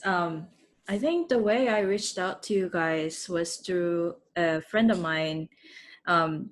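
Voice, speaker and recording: A woman conducting a casual interview, asking questions and commenting in a thoughtful, conversational way. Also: on the low side. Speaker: interviewer, film producer.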